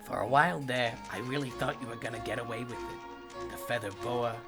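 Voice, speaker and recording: A man's voice: mid-range voice, fairly quick, over music, close to an everyday voice. A man voicing a deceptively humble, shrewd middle-aged detective in a comic fandub, with a folksy, rambling, bumbling manner. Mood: feigned admiration.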